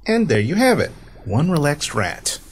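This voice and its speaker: A man's voice: a little high, huge pitch swings, moderate pace, a little hiss or hum. A man acting in a comedic radio drama as an eager, defensive amateur inventor who explains himself proudly. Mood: proud, reassuring.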